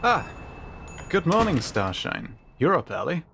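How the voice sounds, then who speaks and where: A man's voice: a little high, big pitch swings, measured pace, creaky, a bit bright, a little hiss or hum, heavily acted. A man playing an easily spooked, wry friend in a scripted sci-fi roleplay, talking in a hesitant, casual, dryly joking way. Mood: flustered.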